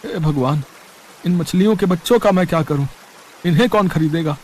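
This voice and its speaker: A man's voice: fairly high pitch, normal speed, slight background noise, close to an everyday voice. A man voicing a dejected, poor village fisherman in a story, speaking in a plaintive, weary way.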